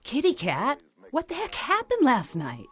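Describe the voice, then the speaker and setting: A woman's voice: high-pitched, a little slow, heavily acted. A woman playing an earnest, gossipy friend in a radio drama, telling news expressively. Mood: excited, incredulous. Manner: expressive, newsy.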